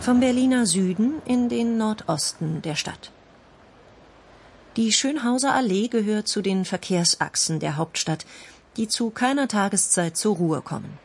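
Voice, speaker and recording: A woman's voice: medium pitch, animated, unhurried, creaky, darkish tone, breathy edge. A woman reads a scripted documentary narration as a radio feature narrator.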